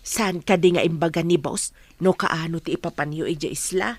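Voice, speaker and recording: A woman with an Ilocano accent acting a worried, soft-hearted housemaid mother in a radio drama. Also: sits low, normal speed, dry recording. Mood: troubled.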